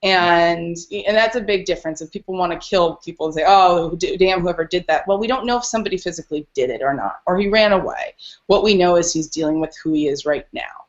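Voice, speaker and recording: A woman's voice: lowish voice, brisk, muffled recording. A woman speaking as a dog rescuer and podcast guest, telling stories casually and earnestly. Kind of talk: casual interview storytelling.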